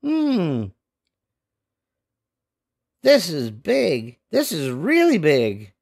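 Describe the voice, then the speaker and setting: Older guy playing imaginative restless storybook kids, boys, really expressive, up and down all over, bit gravelly. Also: a little high, very slow, full character voice. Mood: excited. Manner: expressive, dramatic. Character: pair of restless, imaginative young cartoon boys. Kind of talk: animated storybook read-aloud with character voices.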